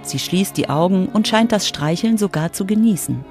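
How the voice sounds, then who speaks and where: A woman's voice: mid-range voice, expressive, normal speed, darkish tone. A woman narrating a scripted children's radio feature as its reporter. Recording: music under the voice.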